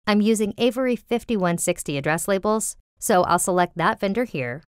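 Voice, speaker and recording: A woman's voice: mid-range voice, expressive, normal speed, clean tone, dry recording, clean studio sound. A woman working as a voiceover artist, reading scripted narration for commercials and tutorials.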